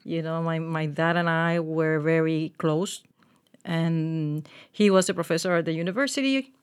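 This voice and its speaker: Woman from Puerto Rico, forties, lowish voice, halting casual talk, interview guest. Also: clear, resonant tone. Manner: hesitant, many fillers and restarts. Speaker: guest, communications manager.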